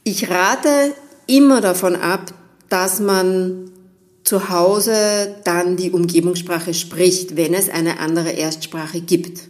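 Female podcast host, lowish pitch, encouraging expert explainer speaking directly to listeners. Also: darkish tone. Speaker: linguist and podcast host giving family language advice. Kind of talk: explanatory solo podcast talk addressed to listeners.

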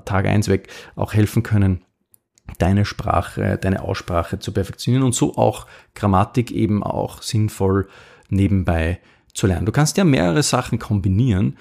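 Gravelly low-voiced man hosting a podcast. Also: a little mellow. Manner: informal, many fillers and restarts, coaching tone. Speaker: podcast host. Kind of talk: casual podcast monologue with sponsor read.

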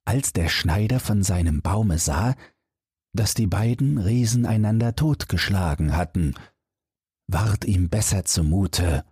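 Deep, husky male storyteller, slow and expressive. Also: no room sound, clean studio sound. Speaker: audiobook narrator. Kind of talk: scripted fairy tale narration for bedtime.

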